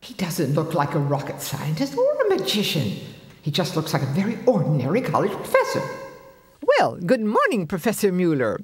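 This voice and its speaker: Worried older sister, echoing voice. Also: female voice, lowish voice, normal speed. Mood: wry, polite. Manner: anxious, expressive. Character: worried, sensible older sister, homely and doubtful. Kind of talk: audio play acting, dialogue and inner monologue. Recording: echoey, long reverb.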